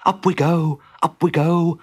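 A man's voice: a little high, steady tone, measured pace, slightly rough, pitched above the performer's own voice. A man voicing a naive, eager little mole in a children's story, shy and wide-eyed. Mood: determined.

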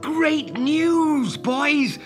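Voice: high-pitched, big pitch swings, unhurried, fairly bright tone, faint music behind, voice pushed up, exaggerated voice. A performer voicing a brave, eager, commanding boy in a children's storybook, earnest and exclamatory. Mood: excited, proud.

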